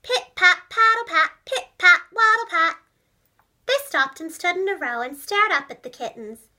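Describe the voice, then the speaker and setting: Lady doing storytime for little kids, high bright voice, really expressive. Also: a little slow. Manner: expressive, clearly enunciated, addressing young children. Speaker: storyteller, preschool teacher and voice actress. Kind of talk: animated children's storybook narration.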